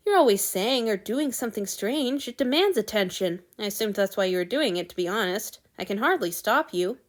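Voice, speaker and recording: A woman's voice: mid-range voice, animated, fairly quick, lightly acted. A woman voicing a brusque, grumbling male god in a storybook audiobook. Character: gruff male god, lord of the gods, brusque but tender.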